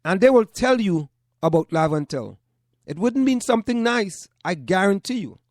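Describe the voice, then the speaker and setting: A man from Trinidad and Tobago, hosting a call-in radio show and speaking in measured, short broken phrases. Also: high-pitched, animated, no echo, studio quality. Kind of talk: call-in radio talk monologue.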